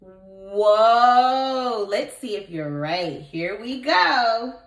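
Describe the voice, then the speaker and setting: An echoey room carries a woman's slow, very animated read-aloud. She is a kindergarten teacher, expressive and encouraging as she tells the story and asks her young listeners questions. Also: a little high.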